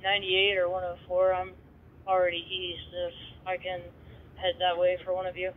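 A high-pitched man gives short responder calls over a two-way radio. His delivery is very flat, and the signal is narrow and phone-like. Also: moderate pace. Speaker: emergency responder in the field. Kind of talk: terse radio traffic. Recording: thin, noisy phone-like scanner radio.

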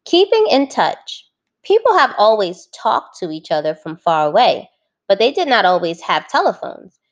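Female primary teacher for a children's read-aloud: mid-pitched, very animated intonation, clear instructive phrasing. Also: normal speed, highs cut off. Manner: clearly phrased, instructive, addressing young listeners.

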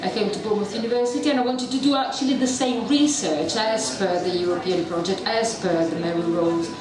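A woman's voice: medium pitch, fairly quick. A middle-aged woman with a strong Italian accent speaks into a handheld mic during a public talk, chatty and self-deprecating. The sound is very echoey, picked up from far off in the room, with an audience murmuring in the background. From Italy. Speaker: university researcher giving a public talk. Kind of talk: public lecture. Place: dark event venue with audience. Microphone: handheld mic, filmed from a distance. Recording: echoey venue recorded from afar, crowd present.

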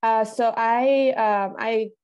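A young woman from Trinidad speaking thoughtfully and haltingly in a casual interview as a film director. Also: fairly high pitch, fairly even intonation, a little mellow, clean tone, muffled recording. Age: young adult. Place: indoor room, video call. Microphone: wired earphones on a video call.

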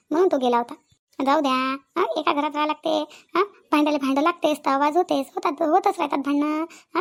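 A woman playing a middle-aged, insistent village sister-in-law in a Marathi cartoon comedy, with a Vidarbha accent, coaxing and scolding repetitively. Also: high-pitched. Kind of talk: cartoon family comedy dialogue.

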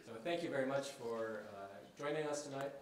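A middle-aged man opens a campus debate into a handheld mic, stopping often on uh and um. His voice sits at an ordinary pitch and bounces around a big lecture hall. He is picked up from some distance, with a faint hum of the room underneath. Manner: halting, many filler uhs. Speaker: professor and event moderator. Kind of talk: introducing a public debate. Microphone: handheld microphone. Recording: roomy hall recorded from a distance.

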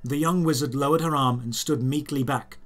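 A man narrating a scripted audio drama in the first person, in measured, deliberate phrases. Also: medium pitch, a bit bright, faint music behind. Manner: measured, storytelling delivery in short deliberate phrases.

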